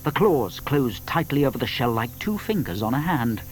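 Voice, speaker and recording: Animated male storyteller. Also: medium pitch, a little hiss or hum. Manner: storytelling, with deliberate emphasis. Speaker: narrator. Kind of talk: scripted children's story narration.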